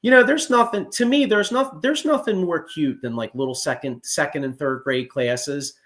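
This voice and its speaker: Excitable man in his forties. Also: fairly high pitch, normal speed. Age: 40s. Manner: excitable, profane, stumbling restarts. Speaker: podcast host. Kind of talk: casual sports chat.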